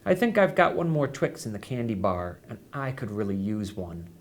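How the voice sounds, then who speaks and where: A man's voice: lowish voice, very animated, normal speed. Man reading as a teasing, coaxing woman nurse. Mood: playful coaxing. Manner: coaxing, playfully grave. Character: woman school nurse, playful, teasing, mock-serious. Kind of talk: acted dialogue in an audiobook reading.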